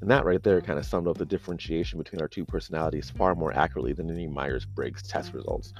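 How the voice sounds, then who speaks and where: A man's voice: very deep voice, fast, faint music behind. A man narrating a serialized audio story in the first person, in a conversational, dry and wry manner.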